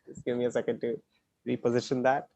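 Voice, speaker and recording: A man with a medium-pitched voice hosts a live-streamed event from a video call. His introduction is informal and halting, with frequent hesitation. The sound is dry, with no room echo. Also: completely flat delivery, mellow. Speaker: conference host introducing a speaker.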